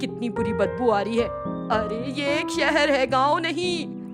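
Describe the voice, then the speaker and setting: A woman's voice: high voice, expressive, a little slow. A woman voicing a strict, indignant middle-aged mother-in-law who scolds and exclaims in a storybook tale. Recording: music behind the voice.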